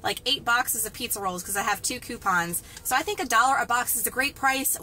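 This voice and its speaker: Lady vlogging coupon deals on her phone, upbeat y'all-and-guys chatter, higher voice, lots of background noise. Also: a little fast, sharp tone. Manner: upbeat, talks straight to viewers with "y'all" and "guys". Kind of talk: casual chatty deal walkthrough. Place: store aisles, then parked car. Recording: noisy store and car background.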